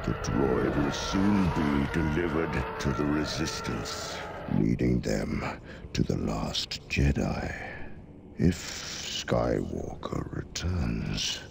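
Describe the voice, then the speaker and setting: Very deep, slow, gravelly dark lord. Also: male voice, lively intonation, exaggerated voice. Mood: grave, commanding. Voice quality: gravelly, breathy rumble. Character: towering, ancient, menacing dark lord, slow and commanding. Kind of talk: cinematic villain acting in game cutscene.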